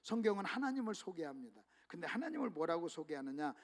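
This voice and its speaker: A man preaching a sermon to a church congregation, leading them in call-and-response reading and swinging between hushed asides and loud emphatic exclamations. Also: fairly high pitch, very animated, quick pace. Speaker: pastor. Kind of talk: sermon at a revival meeting. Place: church sanctuary.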